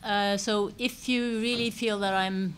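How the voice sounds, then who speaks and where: A woman's voice: medium pitch, fairly even intonation, a little slow, a bit bright. A woman moderating audience questions at a public talk, speaking in an informal, direct way. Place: public seminar room with audience. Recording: live hall microphone, clear background noise.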